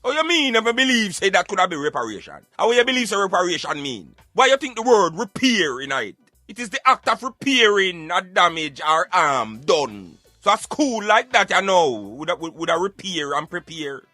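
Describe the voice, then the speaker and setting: Animated high-pitched Jamaican man. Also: normal speed, no echo, soft background music. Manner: emphatic, argumentative, streetwise. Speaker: radio show host. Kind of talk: animated opinionated radio banter in Patois.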